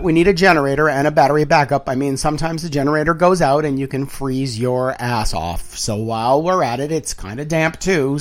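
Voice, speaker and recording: Male audiobook narrator, mid-pitched, for literary prose; wry and theatrical, with deliberate phrasing. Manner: dramatic, wry, deliberate phrasing with emphatic swells and hushed drops.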